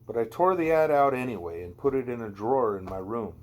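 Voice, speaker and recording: Man, medium pitch, amateur audiobook narration, conversational, wry storyteller. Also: animated, brisk. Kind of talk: scripted narration of a first-person novel, read aloud.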